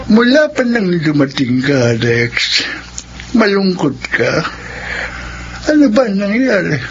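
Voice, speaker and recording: A man's voice: high-pitched, very animated, takes it slowly, exaggerated voice. An actor playing a kindly old grandfather in a radio drama, with an earnest, folksy, concerned manner. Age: older. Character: kindly old grandfather figure, protective drinking companion. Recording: dull lo-fi radio drama recording.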